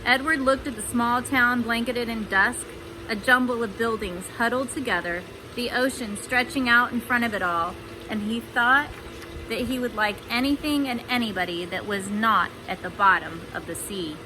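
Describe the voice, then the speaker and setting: Woman reading a kids book out loud, kinda high voice, chatty, noise behind her. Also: moderate pace. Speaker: storybook reader. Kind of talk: children's read-aloud with chatty asides.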